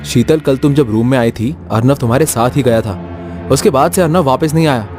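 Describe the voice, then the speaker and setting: Guy doing anxious college boy from horror cartoon, pushy n worked up, pitched up, music behind. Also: fairly quick, close to an everyday voice. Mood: insistent. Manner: agitated, demanding. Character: anxious, insistent young male college student in horror cartoon.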